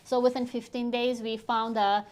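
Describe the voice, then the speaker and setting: A woman's voice: on the high side, moderate pace. A middle-aged woman from Turkey, a native Turkish speaker, explaining her work steadily as an author and publisher in an interview. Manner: steady, explanatory, frequent affirming murmurs. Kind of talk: conversational interview.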